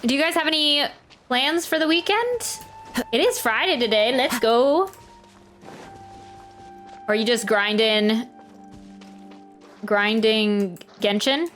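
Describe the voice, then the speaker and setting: A woman's voice: fairly high pitch, lively intonation, slow, pauses often, fairly bright tone. A woman streaming a game, chatting casually and rambling as she thinks aloud. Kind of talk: casual live stream commentary. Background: game music.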